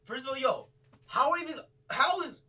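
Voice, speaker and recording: A man doing live reaction commentary in casual slang, excitable and prone to repeating his exclamations. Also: very high voice, moderate pace. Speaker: streamer reacting to a horror video.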